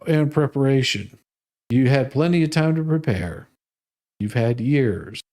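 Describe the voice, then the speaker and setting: A man's voice: medium pitch, unhurried, mellow. A man hosting a live stream, reading documents aloud and adding off-the-cuff, sardonic and mocking commentary.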